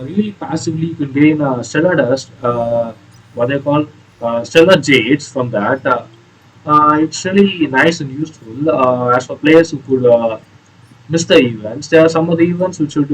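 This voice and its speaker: A man giving casual, explanatory commentary on a video game playthrough in a hesitant, halting way. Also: a little high, husky, rough recording. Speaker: gaming video creator.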